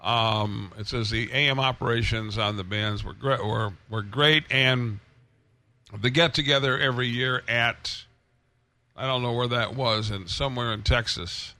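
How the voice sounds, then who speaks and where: A man hosting a casual radio chat about old transmitters, rambling with many pauses and restarts. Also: mid-range voice, sharp tone.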